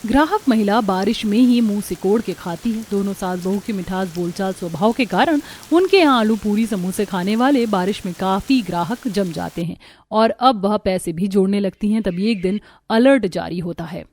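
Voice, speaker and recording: A woman's voice: mid-range voice, moderate pace, darkish tone, a little hiss or hum. A woman reading scripted narration for a cartoon story as its storyteller.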